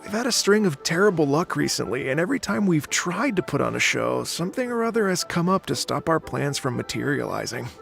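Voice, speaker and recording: An actor playing an earnest, polite male concert conductor in a game, with expressive, musical turns of phrase that turn hesitant when he is pressed. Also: a little high, brisk, vocal fry, hardly any pauses, full character voice. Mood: apologetic. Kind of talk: game dialogue. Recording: game audio with music underneath.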